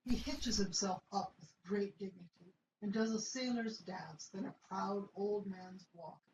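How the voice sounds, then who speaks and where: A woman's voice: medium pitch, unhurried, noisy background. A woman narrating the stage directions of a radio play in a plain, matter-of-fact way. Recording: dull roomy recording.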